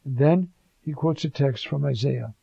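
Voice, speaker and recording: A man's voice: medium pitch, normal speed, creaky, dark tone, husky, no echo, dull audio. A man preaching on scripture, talking conversationally and rising to fervent emphasis. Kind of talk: sermon on scripture.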